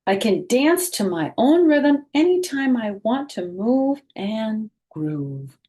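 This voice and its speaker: A middle-aged woman reading a picture book aloud to children, gently and expressively, pausing to explain things directly to her young listeners. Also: on the high side, very animated, breathy edge.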